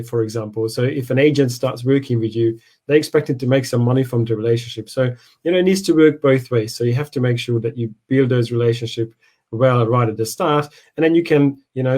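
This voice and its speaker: A man explaining things in a measured, conversational way as a coach in a live training session. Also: mid-range voice, a little mellow. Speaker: property investment coach.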